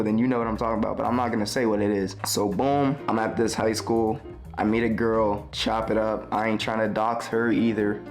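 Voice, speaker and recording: Young guy from tennessee, lowish voice, slangy rambling storytime, guitar music under it. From Tennessee, USA. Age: young adult. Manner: rambling, slangy, self-correcting. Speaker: vlogger. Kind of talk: casual storytime monologue.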